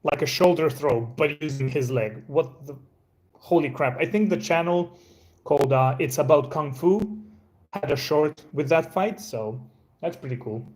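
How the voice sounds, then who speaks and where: A man's voice: on the high side, measured pace, darkish tone, rough recording. A Croatian man, a native Croatian speaker with an American-sounding English accent, chatting casually with viewers on his live stream in a rambling, laid-back way, with many fillers and restarts. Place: indoors at a home.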